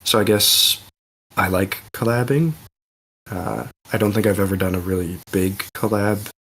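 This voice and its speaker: A man's voice: lowish voice, fairly even intonation, moderate pace, a bit bright, slight background noise, rough recording. A man on a casual discussion panel, speaking reflectively and hesitantly as he thinks aloud. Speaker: podcast panelist.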